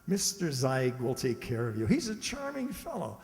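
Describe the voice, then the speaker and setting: A man's voice: medium pitch, huge pitch swings, normal speed, gravelly, a little mellow. A man acting in a radio play as a smug married schemer, breezy and politely reassuring, recorded in an echoey hall. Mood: genial. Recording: echoey hall with long reverb.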